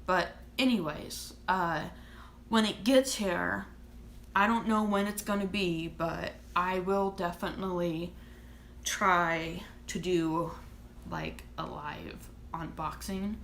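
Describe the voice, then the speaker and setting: A woman's voice: lowish voice, steady tone, slow pace, vocal fry, fairly bright tone, roomy sound, a little hiss or hum. A woman in her thirties chatting casually to camera as a vlogger, rambling and restarting her thoughts. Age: 30s. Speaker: vlogger and author.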